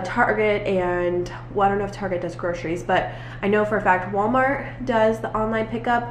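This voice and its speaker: Congested young American mom, chatty. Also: female voice, mid-range voice. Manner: chatty, rambling, self-correcting. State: stuffy and congested from a cold. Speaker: family vlogger, mother of four. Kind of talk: casual chat to camera. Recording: echoey room with faint noise.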